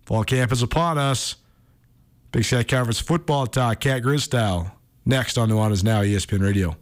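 A man's voice: mid-range voice, moderate pace. An American man hosting a sports talk radio show, commenting casually and thinking aloud as he goes.

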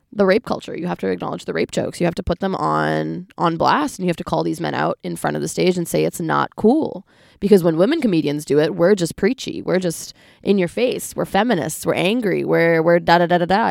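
Gravelly outspoken woman comedian. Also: lowish voice, a little mellow, no echo, clean studio sound. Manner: frank, emphatic, restarts often. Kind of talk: podcast interview.

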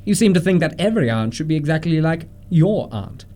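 Man playing an earnest young English gentleman, animated pitch. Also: fairly quick, lightly acted. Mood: defensive, exasperated. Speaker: amateur radio theatre actor. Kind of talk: radio play acting of a Victorian comedy of manners.

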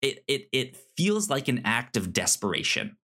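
A man co-hosting a film podcast, reflecting casually in a halting, stammering way that repeats words. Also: medium pitch, wildly swooping pitch, pushed and bright. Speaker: podcast co-host.

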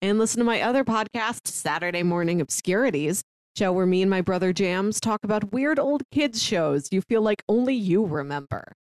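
A woman's voice: medium pitch, expressive, normal speed, no echo. A woman co-hosting a podcast, talking in a casual, conversational style. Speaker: podcast co-host.

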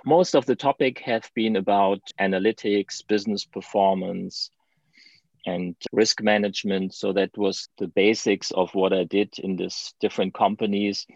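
German guy with a low gravelly voice chatting as a podcast guest, dull lo-fi sound. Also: dry recording. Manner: chatty, with restarts and light laughs. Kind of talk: conversational interview.

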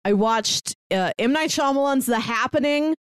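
A woman's voice: sits high, expressive, moderate pace, smooth, no echo. Wry, chatty woman, dry close recording. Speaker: podcast co-host. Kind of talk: casual chat recapping films and shows.

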